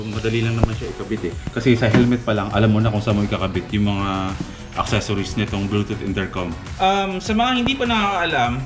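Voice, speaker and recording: A young man casually walking viewers through installing a helmet intercom, often checking in with "okay?". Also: fairly low pitch. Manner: instructive, talks viewers through each step, frequent "okay?" checks. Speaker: moto vlogger demonstrating gear. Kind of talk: casual how-to walkthrough. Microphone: camera mic. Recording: background music. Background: background music.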